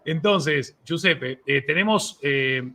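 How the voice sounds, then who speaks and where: Male live-show host, slightly high and forceful, effusive and conversational, with some background noise. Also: slow pace. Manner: effusive, enthusiastic praise of guest. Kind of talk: casual, unscripted interview chat.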